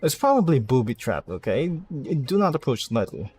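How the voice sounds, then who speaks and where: A man's voice: medium pitch, big pitch swings, moderate pace, darkish tone, breathy edge. Man thinking aloud over game music. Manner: mutters half-finished plans, mild swearing. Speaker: video game streamer. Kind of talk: casual gameplay commentary, thinking aloud.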